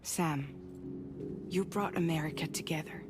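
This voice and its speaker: An actress playing a composed, businesslike former courier boss in a video game, speaking in a measured, matter-of-fact way. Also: female voice, low voice, music in the background. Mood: serious, measured. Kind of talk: cinematic video game dialogue.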